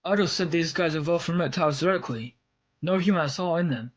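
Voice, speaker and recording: An actor playing an unimpressed adventurer and fighter in a comic dub, with a dry, offhand delivery. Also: male voice, a little high, fairly quick, lightly acted. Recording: music behind the voice.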